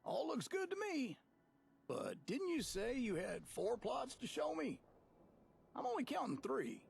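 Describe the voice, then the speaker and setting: Old settler man for a game, super husky and wry, pitch swings all over. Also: wildly swooping pitch, a little slow, heavily acted. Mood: wry, agreeable. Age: older. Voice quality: husky, creaky. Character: elderly male settler, plain-spoken and wry. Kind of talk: video game dialogue.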